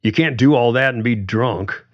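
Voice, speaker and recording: A man's voice: medium pitch, normal speed, pitched above the performer's own voice, lightly acted. A man voicing a frustrated middle-aged male musician, earnest and exasperated, swearing when pushed. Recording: dull lo-fi recording.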